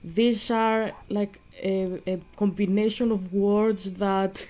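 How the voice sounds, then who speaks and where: A young woman, chatting casually and rambling with many pauses and fillers while playing a game. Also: medium pitch, steady tone, warm tone. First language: Greek. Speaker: gaming vlogger. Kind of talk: casual gaming chat.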